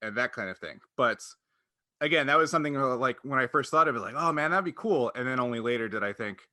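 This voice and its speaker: A man with a bright, forward voice and a middling pitch talks as a podcast host. He explains things in a loose, conversational way, slipping into quoted lines and leaning on "like." The sound is dry. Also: animated, fast, no room sound. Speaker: podcast host and game master. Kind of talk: casual explanatory podcast chat.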